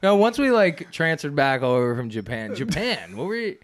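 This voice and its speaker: Young male podcast host; animated pitch, teasing, laughs easily. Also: normal speed. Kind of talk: casual chat.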